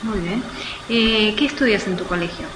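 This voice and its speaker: A woman conducting an interview at an average pitch, with brief, encouraging responses and plain questions. Her voice rings in the room, over clearly audible noise. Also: moderate pace, reverberant.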